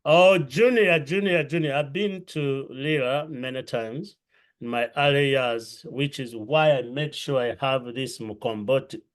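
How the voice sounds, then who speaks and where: A man from Uganda hosting an interview podcast from a room at home, greeting his audience in an effusive, drawn-out way. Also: mid-range voice. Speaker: podcast host. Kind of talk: welcoming interview host. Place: home room with books and a clay pot.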